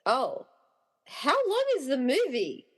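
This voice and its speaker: A woman playing an excitable, wry, slightly exasperated rescuer in a comedy radio play. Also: sits high, takes it slowly, breathy edge, heavily acted. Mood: puzzled, wry.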